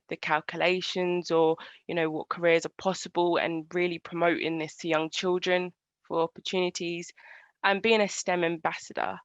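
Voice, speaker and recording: A woman engineer giving an online presentation in a halting, earnest way, over a video call. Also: lowish voice, no room sound. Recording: dull lo-fi video call audio.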